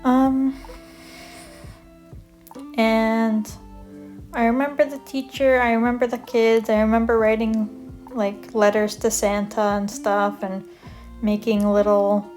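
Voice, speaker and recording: A young woman from Canada chatting casually as she draws, rambling with lots of fillers and self-corrections. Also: medium pitch. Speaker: art vlogger. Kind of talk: casual chat over a draw-with-me video. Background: soft guitar music.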